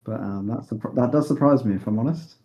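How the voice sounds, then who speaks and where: A young man hosting a mock launch webcast, joking and often pausing with fillers. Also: mid-range voice, husky, lo-fi sound. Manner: joking, hesitant with many fillers. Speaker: webcast host.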